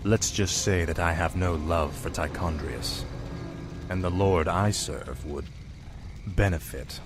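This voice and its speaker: A sly human emissary in a game cinematic. He is low-pitched and smooth, insinuating each word. A loud score runs underneath. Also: slow, music in the background. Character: cryptic human male agent of a dark master. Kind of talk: video game cinematic acting.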